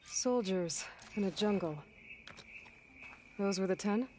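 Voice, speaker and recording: An actress playing a curious, wry outsider adventurer in a video game, asking questions in conversation. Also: female voice, mid-range voice, slow pace, a crowd in the background, natural voice. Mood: curious, skeptical.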